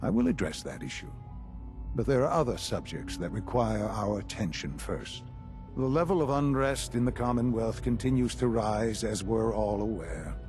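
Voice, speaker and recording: An actor playing a calm, calculating older male leader of a science institute in a video game, speaking in a measured, formal way. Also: fairly low pitch, over music. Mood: grave, stern. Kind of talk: video game cutscene acting.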